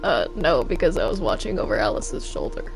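An excitable, stammering online gamer chatting casually in character during a roleplay game. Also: medium pitch, a little fast, over music. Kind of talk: casual in-character roleplay chat while gaming.

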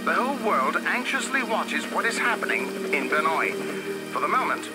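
An actor playing a formal, serious television news reporter in a video game cutscene. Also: male voice, on the high side, big pitch swings, moderate pace, over loud music.

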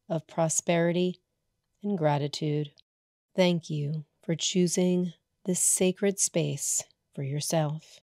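A woman leading a guided meditation as a yoga nidra teacher, soothing and hushed, leaving long pauses between short phrases. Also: low-pitched, dry recording, clean studio sound.

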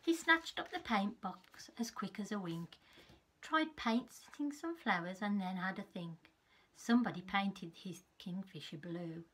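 A woman in her fifties reads a children's story in a measured, expressive way, with a slightly husky voice. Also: medium pitch. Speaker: teacher reading a storybook to young children. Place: small furnished room at home, indoors.

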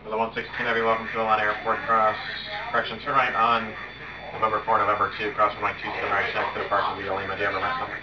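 A man's voice: fairly low pitch, completely flat delivery, rapid-fire. A young man with an American accent rattling off air traffic phraseology, with casual, sweary asides, recorded by a camera in a small home room. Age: young adult. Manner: casual, swears when thinking aloud. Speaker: hobbyist air traffic controller. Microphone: camera on desk a step away. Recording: muffled, noisy webcam audio.

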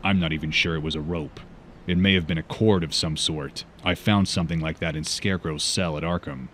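Brooding masked detective hero, played by a man: extremely low, solemn, measured delivery, slight noise on the recording. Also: fairly even intonation, fairly bright tone. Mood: grim, analytical. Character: brooding masked male vigilante detective, grave and guarded. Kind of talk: comic book fan dub, dramatic dialogue and monologue.

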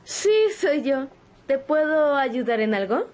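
A woman's voice: high-pitched, slow. A woman playing a sweet, bewildered young girl in an audio roleplay, with a hesitant, naive manner. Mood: cheerful. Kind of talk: ASMR audio roleplay acting.

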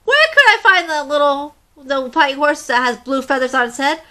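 A woman voicing a vain, hot-tempered male rooster in a storybook, with a shrill squawking voice. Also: expressive, moderate pace, pushed and bright. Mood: shouting.

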